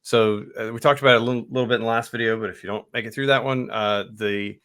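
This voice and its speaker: A man co-hosting an IT training video, explaining settings in a halting, loose way with many restarts. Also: mid-range voice, fairly even intonation, a bit bright. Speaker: IT trainer, co-host. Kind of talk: casual tech explanation.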